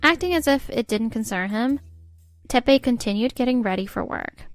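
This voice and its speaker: Woman acting high-voiced, put-upon young mother. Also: expressive, normal speed, faint music behind, close to an everyday voice. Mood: reflective narrating. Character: weary young mother and nurse, timid, quietly frustrated wife. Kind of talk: scripted manga dub narration and dialogue.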